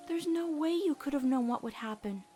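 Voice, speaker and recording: A woman voicing an earnest, self-doubting teenage girl with halting delivery in a dramatic comic dub. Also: high-pitched, lively intonation, mellow, pitched above the performer's own voice. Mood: urgent, reassuring. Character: guilt-ridden, earnest, stubborn teenage human girl.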